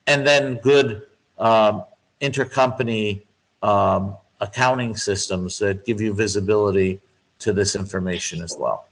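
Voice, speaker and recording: A man giving measured, explanatory answers as a guest expert in a business interview, laying out points step by step with emphasis. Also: fairly low pitch, rough recording. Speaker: guest speaker, finance expert.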